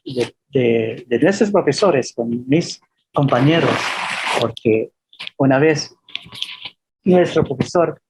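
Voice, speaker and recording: Man, professor, slow delivery, halting, anecdotal lecture, slight background noise. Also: mid-range voice, lively intonation. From Philippines.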